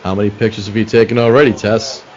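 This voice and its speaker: A man's voice: lowish voice, flat delivery, fast talker, a little mellow, dull audio, faint music behind, lightly acted. A man playing a businesslike police detective in a radio play, matter-of-fact and firm. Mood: casual. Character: level-headed, businesslike male police detective. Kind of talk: old-time radio play acting.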